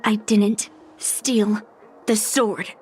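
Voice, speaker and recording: A woman's voice: mid-range voice, level tone, drawn-out words, a little mellow. An actress playing a stubborn, terse young woman in a video game, speaking emphatically and guardedly. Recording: music behind the voice.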